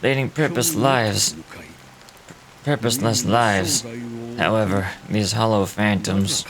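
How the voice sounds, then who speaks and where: A man voicing a weary, solemn old guide of lost souls in a game, reading dramatically in a grave, measured, reflective way. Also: on the low side, steady tone, crowd noise, low-quality recording. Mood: solemn storytelling. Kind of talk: dramatic read-aloud of game dialogue.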